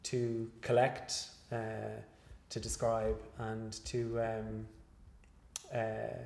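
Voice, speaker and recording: A male researcher giving an interview after a lecture, speaking in a measured, hesitant way with many fillers and restarts. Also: lowish voice, completely flat delivery, gravelly, a little mellow. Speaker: guest researcher. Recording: echoey room.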